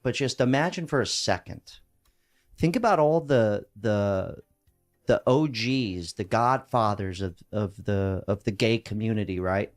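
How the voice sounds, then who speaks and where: A man's voice: fairly low pitch, measured pace, soft background music. A man in his thirties chatting casually as a video commentator, brash and slangy. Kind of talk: casual reaction chat.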